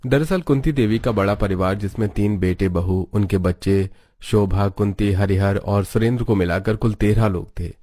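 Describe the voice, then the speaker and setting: A man reading scripted narration for an animated family story. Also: fairly low pitch, normal speed, no room sound.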